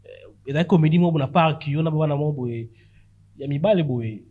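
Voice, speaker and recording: A man's voice: fairly high pitch, fast. A man with a Congolese accent hosting a casual podcast interview, switching between languages and often echoing his guest with short acknowledgements, in a dull recording. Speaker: podcast host. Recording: dull audio with faint noise.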